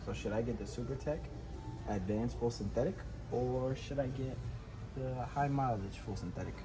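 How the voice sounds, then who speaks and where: A man's voice: medium pitch, normal speed, music in the background, low-quality recording. A man chatting casually and joking with slang and swearing, as a prank vlogger making mock announcements. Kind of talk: casual prank vlog chat with mock announcements into a walkie-talkie. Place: inside a large retail store.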